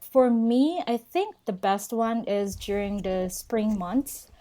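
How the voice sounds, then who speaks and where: A woman's voice: mid-range voice, animated, takes it slowly, dark tone. A young woman who speaks natively, chatting casually as a guest in an interview and often laughing. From Philippines. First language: Filipino. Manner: laughs often, friendly and chatty, mixes in Norwegian words. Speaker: guest, local travel expert.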